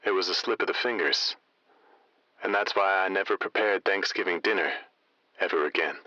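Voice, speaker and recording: A deep-voiced late-night radio host, talks in a forceful, theatrical patter full of insinuation. The sound is close, dry and slightly dull, as in a radio drama. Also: male voice, moderate pace, no room sound. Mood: sly, teasing. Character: smooth, suggestive male late-night conspiracy radio host.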